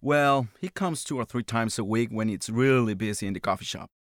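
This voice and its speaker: A man's voice: medium pitch, fairly quick, no echo, clean studio sound, close to an everyday voice. An actor playing a pleasant man chatting about everyday matters in a scripted listening exercise. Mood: friendly. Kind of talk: scripted monologue and dialogue.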